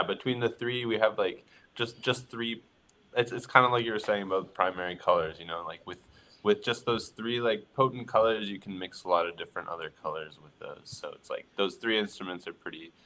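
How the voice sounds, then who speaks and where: A young man from Newfoundland, a bassist guest, talking in an easygoing, halting way. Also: bright, forceful tone, low-quality recording. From Newfoundland, Canada. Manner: easygoing, halting, many fillers. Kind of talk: casual interview chat.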